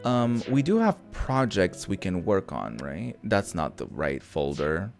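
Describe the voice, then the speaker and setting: A man doing casual let's-play commentary, thinking out loud with some mild swearing over background music. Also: sits low, sing-song, unhurried, creaky. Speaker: gaming host. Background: background game music.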